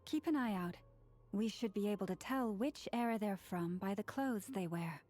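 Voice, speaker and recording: A woman playing a calm, observant companion in a video game, speaking in a reflective, slightly formal way. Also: medium pitch, moderate pace, close to an everyday voice. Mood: thoughtful. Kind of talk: video game character acting. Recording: background music.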